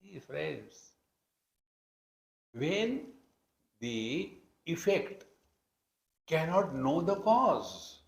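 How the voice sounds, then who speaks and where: A man's voice: a little high, big pitch swings, very slow, creaky, pauses often. A man with an Indian accent giving a spiritual discourse as a teacher, explaining scripture in a conversational yet emphatic way. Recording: roomy, echoing hall sound.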